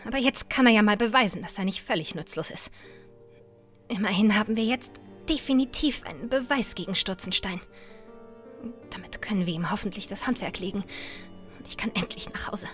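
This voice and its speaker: An actress playing a determined, sharp-tongued young adventuress in an audio drama, often muttering to herself. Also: female voice, mid-range voice, fairly quick, lightly acted. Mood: grumbling, defiant. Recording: music under the voice.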